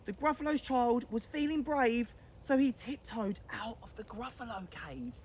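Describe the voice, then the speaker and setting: A woman's voice: fairly high pitch, expressive, measured pace, a little mellow, slight background noise. A woman reading a children's bedtime story aloud with dramatic, rhythmic expression.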